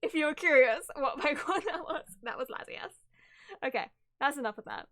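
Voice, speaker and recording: A woman livestreaming a game with casual, excitable chat, giggly and easily sidetracked. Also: high voice, moderate pace. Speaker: livestreamer reacting to a video game.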